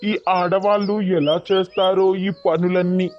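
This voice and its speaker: A man voicing a lazy young man who grumbles and complains in an animated children's story, on a dull, slightly noisy recording. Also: high-pitched, a little slow. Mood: weary, grumbling.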